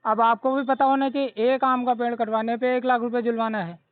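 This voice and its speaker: A man's voice: very high-pitched, level tone, brisk, no room sound. A man with a North Indian accent from Uttar Pradesh, arguing on a phone call in an insistent, confrontational, lecturing way. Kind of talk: argumentative phone conversation. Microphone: phone line. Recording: tinny, narrowband recorded phone call.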